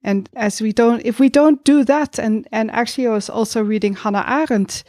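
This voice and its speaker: A woman co-hosting a reflective intellectual discussion, speaking deliberately with pauses between her phrases. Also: on the high side, a little mellow, no echo, clean studio sound.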